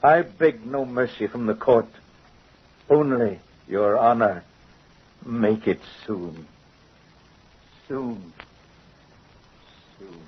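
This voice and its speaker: A man's voice: medium pitch, slight background noise. An actor playing a guilt-ridden craftsman confessing to a judge, earnest and dramatic, in old-time radio style. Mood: sorrowful, resigned. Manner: dramatic, earnest, deliberate phrasing. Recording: muffled old radio recording.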